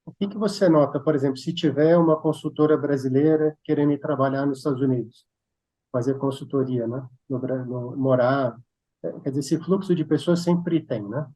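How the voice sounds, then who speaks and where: A man's voice: mid-range voice, brisk, dark tone, low-quality recording. A man interviewing a guest, asking long, rambling questions with restarts and tag questions. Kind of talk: interview conversation.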